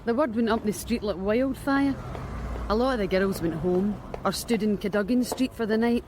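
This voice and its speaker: A woman's voice: mid-range voice, normal speed, darkish tone, slight background noise, lightly acted. A woman playing a wry Scottish street worker. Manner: blunt, wry, matter-of-fact. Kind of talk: BBC radio drama acting.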